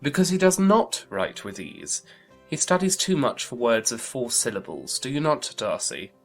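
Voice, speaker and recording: An actor playing an amiable, impulsive young gentleman with exclamatory, formal speech in a period audiobook drama. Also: mid-range voice, big pitch swings, moderate pace, faint music behind. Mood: teasing. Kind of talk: dramatised classic novel audiobook.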